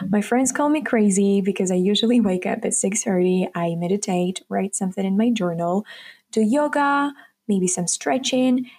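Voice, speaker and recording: A woman's voice: mid-range voice, creaky, darkish tone. A nineteen-year-old woman from Ukraine, hosting her own podcast in a chatty, upbeat solo talk that speaks straight to her listeners. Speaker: podcast host. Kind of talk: casual solo podcast monologue.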